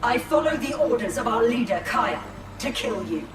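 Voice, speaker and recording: Woman voicing a scornful elf warrior, highish voice, echoey, music behind. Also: expressive, normal speed, close to an everyday voice. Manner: cold, scornful. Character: proud defiant female elf warrior.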